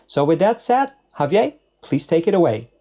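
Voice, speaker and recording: A man giving a brisk, upbeat scripted introduction at a tech conference. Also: a little high, sing-song, dark tone. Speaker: conference speaker.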